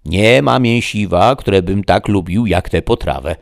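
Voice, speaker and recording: A man voicing a blunt, hearty, impatient peasant squire in a storybook, loud and emphatic. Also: sits low, measured pace, gravelly, voice pushed up. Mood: hungry, emphatic.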